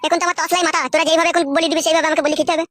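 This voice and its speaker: A man's voice: very high-pitched, monotone, rapid-fire, bright, forceful tone, slight background noise. A man voicing a cheeky, hot-headed young village husband in a comic cartoon, whining and arguing back. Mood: aggrieved. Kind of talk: comic cartoon dialogue in colloquial rural Bengali.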